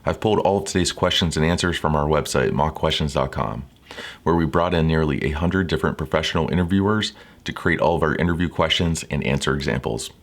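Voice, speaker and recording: A man presenting scripted instructional narration in a measured, formal, clearly structured way. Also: a bass voice. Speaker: presenter of interview coaching videos.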